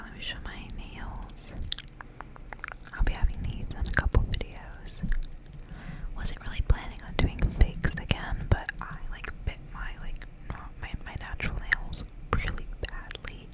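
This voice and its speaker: A young woman whispering breathily in a soft, informal ASMR style, chatting casually and sometimes slowly repeating words. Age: young adult. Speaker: ASMR creator. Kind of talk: ASMR intro and casual life update.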